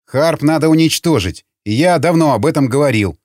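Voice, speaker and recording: A man's voice: mid-range voice, very animated, moderate pace, no echo, clean studio sound, pitched above the performer's own voice. A man voicing a blunt, decisive councilman in an audiobook, speaking in an emphatic, resolute way. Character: blunt, decisive male councilman eager to act. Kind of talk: audiobook character acting.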